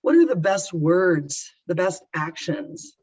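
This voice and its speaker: A woman's voice: fairly low pitch, sing-song, takes it slowly, darkish tone, slightly rough. A woman moderating a webinar on a video call, speaking emotionally with stumbling restarts. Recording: dull lo-fi call audio.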